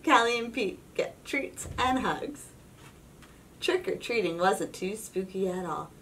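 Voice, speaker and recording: A woman reading a children's storybook aloud in an expressive, suspenseful narrating style. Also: medium pitch, slow pace, recorded from a distance, a little hiss or hum. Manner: expressive, suspenseful, with whispers and exclamations. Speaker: storybook reader.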